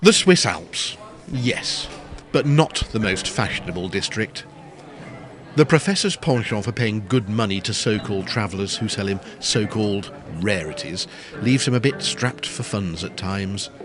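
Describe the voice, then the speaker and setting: A man's voice: fairly low pitch, lively intonation, unhurried, fairly bright tone. A man narrating a period farce as a wry, descriptive storyteller with a scripted delivery. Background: prominent music.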